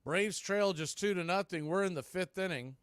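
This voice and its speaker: A man with a slightly high-pitched voice gives live baseball play-by-play as a radio announcer. He moves between clipped, punchy calls and conversational asides. The sound is very dry, with no room around the voice. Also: moderate pace, clear, resonant tone, no echo.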